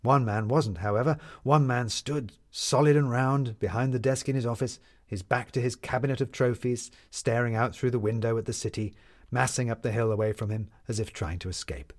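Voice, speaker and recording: A man narrating a scripted story in a measured, lyrical storytelling manner. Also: mid-range voice, fairly even intonation.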